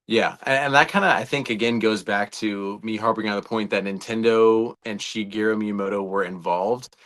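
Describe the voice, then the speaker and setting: Low-voiced man chatting as a podcast co-host. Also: fairly even intonation, moderate pace, a bit bright. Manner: enthusiastic, rambling fan talk. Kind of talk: casual movie discussion.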